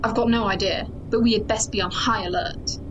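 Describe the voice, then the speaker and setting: A woman's voice: mid-range voice, fairly even intonation, fairly quick, highs cut off, background noise, lightly acted. A woman playing a wary, intense chief of security on a space station in an audio drama, formal and insistent. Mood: urgent, wary. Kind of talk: science-fiction audio drama acting.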